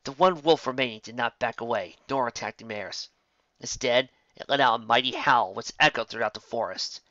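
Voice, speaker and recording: A man's voice: mid-range voice, moderate pace, pushed and bright, no room sound. A man narrating a fan fiction story expressively, stumbling over words now and then, on a dull lo-fi recording.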